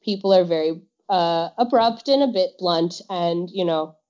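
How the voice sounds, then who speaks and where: A woman's voice: on the low side, unhurried, a little mellow. A woman who teaches English, chatting casually as a podcast guest and laughing often. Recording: dull lo-fi audio.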